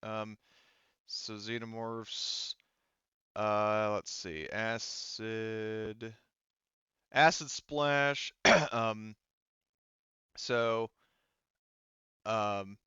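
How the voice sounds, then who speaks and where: A man with a low, very smooth voice acts as game master in a casual tone. He thinks aloud haltingly as he explains rules, recorded close and dry with a slightly dull sound. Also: fairly even intonation, sharp tone, no echo.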